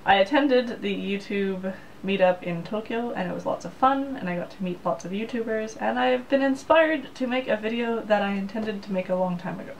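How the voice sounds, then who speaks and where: A woman's voice: medium pitch, moderate pace, far from the mic, slight background noise, rough recording. Young woman vlogging, a bit off-mic. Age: young adult. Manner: plain, practical explaining. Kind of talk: casual talk to camera. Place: small room in a Japanese apartment, indoors. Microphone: camera mic, head-and-shoulders framing.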